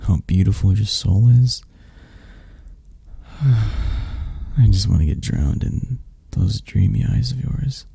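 A man voicing an obsessed, flirty yandere captor in an intimate ASMR roleplay, sweet and menacing, with lingering pauses. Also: on the low side, mellow, no room sound, full character voice. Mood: adoring, possessive. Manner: slow, intimate, breathy sighs and pauses.

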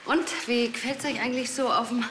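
An actress playing a relaxed, wry pathologist with a Bavarian accent in a TV crime comedy. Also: female voice, fairly high pitch, a little fast, background noise, lightly acted. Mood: chatty. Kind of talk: television crime comedy acting.

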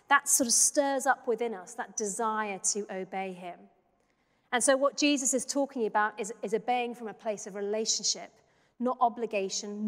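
A woman in her thirties, a pastor, giving a sermon in a church in a measured, reflective, conversational way. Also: on the high side, a bit bright. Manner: measured, reflective, conversational preaching with small restarts. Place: church sanctuary. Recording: long church reverb.

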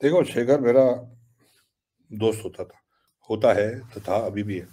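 An older man with an Indian accent, a guest author speaking quickly over a video call and mixing English with Hindi. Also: fairly low pitch, warm tone, slightly rough. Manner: rapid, switches between English and Hindi. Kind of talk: conversational interview. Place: home room, video call.

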